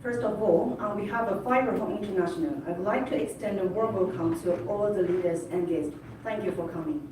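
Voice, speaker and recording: Female interpreter delivering formal translated remarks, echo-heavy room, clear background noise. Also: medium pitch, fairly quick. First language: Chinese. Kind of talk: formal consecutive interpreting, scripted. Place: large indoor hotel event room. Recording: very echoey hall, distant mic, noisy.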